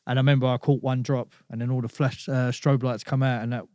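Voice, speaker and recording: A man's voice: medium pitch, flat delivery, quick pace, mellow, no echo, clean studio sound. A man, a podcast guest telling stories in casual chat, laid-back and dropping "you know what I mean" often. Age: 30s.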